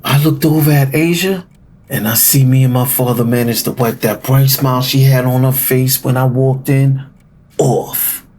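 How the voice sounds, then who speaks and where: Actor as husky-voiced man narrating family drama. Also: mid-range voice, fairly even intonation, normal speed, a little mellow, close to an everyday voice. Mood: heavy-hearted. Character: adult son narrating tense family drama, resentful, wry. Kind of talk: first-person scripted audio drama narration.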